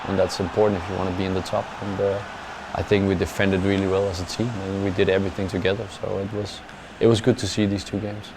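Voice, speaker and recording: Low, flat-voiced male footballer. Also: background noise. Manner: halting, repeats words often with many "uh" fillers; plain and measured, trails off softly at the ends of phrases. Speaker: football player. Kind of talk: post-match interview answers.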